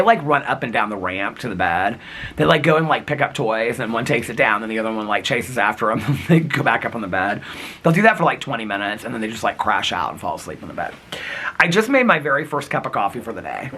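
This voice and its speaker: A man vlogging outdoors in wind and snow, talking casually in a rambling, giggly way with a husky, crackly voice. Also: medium pitch, quick pace. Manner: rambling, giggly, animated storytelling. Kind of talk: casual chatty vlog. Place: outdoors in windy snowy weather.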